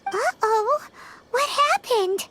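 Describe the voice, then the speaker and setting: A woman voicing a tiny, childlike fairy companion in an anime-style game, squeaky, curious and exclamatory. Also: very animated, extremely slow, sharp tone, a little hiss or hum, heavily acted. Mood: startled. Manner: refers to self in third person, exclamatory.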